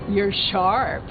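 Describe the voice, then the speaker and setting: A woman's voice: medium pitch, full character voice. An actress playing a brash, sarcastic young female vampire in a video game, a scrappy small-town newcomer who talks in slangy, sardonic, sweary bursts. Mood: defiant, amused. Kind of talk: video game character dialogue. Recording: dull game audio under clear music.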